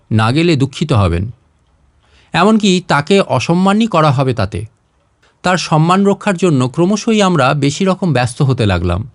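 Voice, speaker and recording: A man narrating a literary short story in an expressive, dramatised reading style. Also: a little high, dry recording, clean studio sound.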